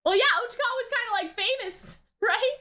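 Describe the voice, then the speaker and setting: A woman's voice: very high-pitched, a little fast, pushed and bright. A woman giving a casual live reaction commentary, exclamatory and slangy, thinking aloud as she goes. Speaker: reaction video host.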